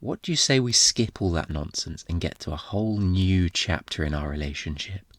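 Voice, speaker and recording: A man playing an obnoxiously flirty, smug thief in an intimate ASMR roleplay, teasing in a playful, theatrical, drawn-out way. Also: sits low, lively intonation, dry recording, clean studio sound. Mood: smug, seductive.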